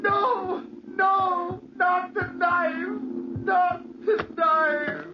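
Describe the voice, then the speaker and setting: High, wailing, terrified old man. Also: little pitch movement, very slow, noisy background, heavily acted. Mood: terrified, pleading. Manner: quavering, wailing, pleading. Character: terrified, helpless old man crying out in bed. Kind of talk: 1940s radio horror acting. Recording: muffled old 1940s radio recording.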